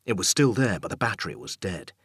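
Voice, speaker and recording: Male narrator for dark thriller audiobook: low, raspy tone, measured suspense, dry recording. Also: sing-song, dry recording.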